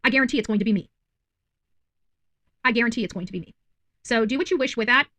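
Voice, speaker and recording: Rapid-fire, insistent woman commentator. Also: on the high side, pushed and bright. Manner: emphatic, insistent, repeating phrases for stress; indignant, accusatory. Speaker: self-described independent journalist and online commentator. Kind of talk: unscripted commentary addressed to viewers.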